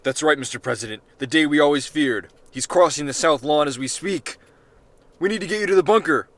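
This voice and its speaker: A man's voice: fairly high pitch, brisk, a bit bright, no room sound. A man voicing an alarmed, stern Secret Service agent in a story reading, urgent and commanding. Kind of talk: amateur short-story character reading.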